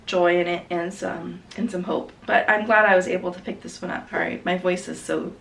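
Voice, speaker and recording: A woman's voice: fairly low pitch, fairly even intonation, normal speed, vocal fry. A woman recovering from a cold, chatting enthusiastically as a book vlogger about her recent book haul. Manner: chatty, enthusiastic, self-correcting. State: getting over a cold. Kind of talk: casual book haul chat. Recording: slightly distant mic, faint background noise.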